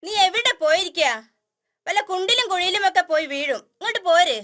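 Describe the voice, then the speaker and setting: A woman's voice: extremely high pitch, expressive, a little fast, a bit bright, no room sound, clean studio sound. A woman voicing a fussy, worried mother elephant in a children's cartoon, calling out and scolding. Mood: anxious calling.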